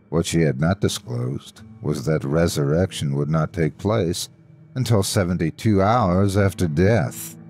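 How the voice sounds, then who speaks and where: A man narrating a horror story in a theatrical, deliberate, measured way, with a gravelly voice. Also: low-pitched, a little mellow. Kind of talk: dramatic scripted horror storytelling. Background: faint background music.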